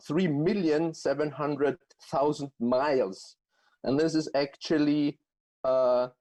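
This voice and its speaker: A man from Austria with an Austrian accent, answering interview questions as a guest economist in a halting way, full of fillers and restarts. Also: fairly high pitch, a little mellow. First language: German.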